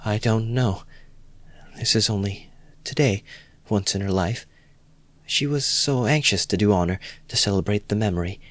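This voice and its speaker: A man voicing a timid, poor young woman who talks in halting, broken-off phrases during an audiobook reading. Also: lowish voice, fairly bright tone, no echo, pitched above the performer's own voice, lightly acted. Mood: flustered.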